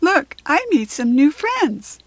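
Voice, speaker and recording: A woman's voice: high voice, sing-song, takes it slowly, no echo, voice pushed up, full character voice. A woman with an American accent voicing a friendly, easily scared little male baby zebra with a breathless voice in a children's storybook. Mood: relieved, excited.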